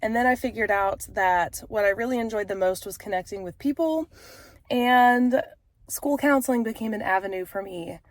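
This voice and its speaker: A woman's voice: mid-range voice, moderate pace, no echo. A woman in her thirties with a stuffy, congested voice, talking frankly and casually to camera with frequent fillers. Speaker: vlogger and author.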